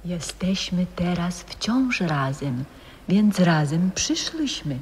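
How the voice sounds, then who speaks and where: An actress playing a calm, solemn old friend and former singer who comes visiting in a 1960s radio play. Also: female voice, fairly low pitch, takes it slowly, in a large hall, slight background noise.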